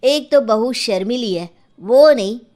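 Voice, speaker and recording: A doting young husband voiced by a woman in a storybook tale. The voice is fairly high for the part, with a whiny, protective, protesting manner. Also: expressive, measured pace, darkish tone.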